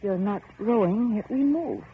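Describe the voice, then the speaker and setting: An actress playing an anxious, insistent young heiress in vintage radio horror, questioning with pleading, melodramatic urgency. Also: female voice, medium pitch, expressive, measured pace. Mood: wondering, uneasy. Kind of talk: 1940s radio horror acting. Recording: noisy muffled old radio recording.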